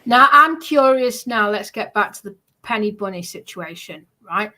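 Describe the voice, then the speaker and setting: Female, bright forceful voice at medium pitch, casual explanatory chat, halting, with repeated phrases. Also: animated.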